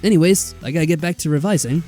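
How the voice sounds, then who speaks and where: A man's voice: on the high side, very fast, warm tone, pitched above the performer's own voice, lightly acted. A man voicing a friendly, offhand young male student in a visual novel game. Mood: relaxed, friendly. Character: easygoing, friendly young male scholar at a hostel. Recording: background music.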